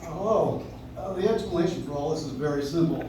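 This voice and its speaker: A man playing a mild, friendly gentleman in an amateur live radio play, hesitant and conciliatory. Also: a little high, fairly quick, natural voice. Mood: friendly, explaining. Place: coffee house stage, recorded from the audience. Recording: distant audience recording, very echoey, noisy.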